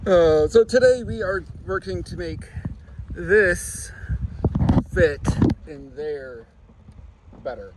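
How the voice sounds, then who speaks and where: A man's voice: high-pitched, slow pace, pauses often, background noise. A man in his garage chatting casually and rambling through small car projects, with lots of fillers. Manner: relaxed, rambling, filler-heavy. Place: home garage.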